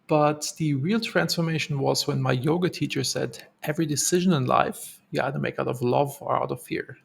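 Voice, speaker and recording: A man with a German accent talks through his own story on a podcast. His voice is heavily gravelly and a little husky. He sounds earnest and reflective, and he circles back over his words. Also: mid-range voice. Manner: earnest, reflective, with fillers and repeats. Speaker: podcast co-host. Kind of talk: casual storytelling.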